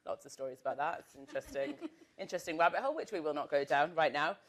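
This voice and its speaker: Woman, low voice, grew up in northern England, live panel guest, chatty, self-deprecating. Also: sing-song, fast talker. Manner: chatty and self-deprecating, laughs through asides. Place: stage at a live podcast event.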